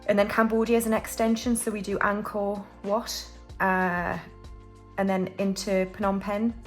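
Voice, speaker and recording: A woman's voice: mid-range voice, little pitch movement, measured pace, faint music behind. A British woman in her forties, chatting casually and rambling a little as she films a budgeting vlog. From United Kingdom. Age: 40s. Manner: chatty and rambling, with frequent restarts and fillers. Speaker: budgeting vlogger. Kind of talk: casual chat while stuffing cash envelopes.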